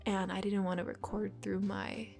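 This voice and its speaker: A woman's voice: medium pitch, little pitch movement, normal speed, vocal fry, darkish tone, soft background music. A congested woman in her twenties chatting casually and rambling while she sketches, swearing often, recorded at home on a desk microphone. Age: 20s. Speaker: art vlogger. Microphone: USB desk microphone.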